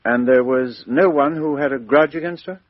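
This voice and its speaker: An actor playing a methodical middle-aged police inspector, asking businesslike questions in a 1950s radio drama. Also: male voice, medium pitch, normal speed, no echo, natural voice. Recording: dull 1950s radio recording.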